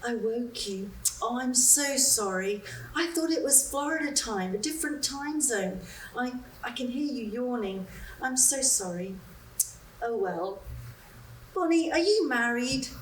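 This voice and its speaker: A woman's voice: a little high, lively intonation, slow pace, long pauses, a little hiss or hum, voice pushed up. A woman performing a chirpy, nervous young woman on a phone call, chattering breathlessly and apologizing. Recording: roomy sound, mic far off.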